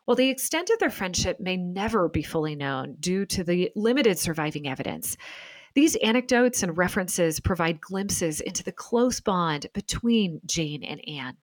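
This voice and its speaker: A woman hosting and narrating a scripted documentary in a measured, articulate storytelling manner. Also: on the low side, lively intonation, no room sound. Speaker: podcast host and narrator.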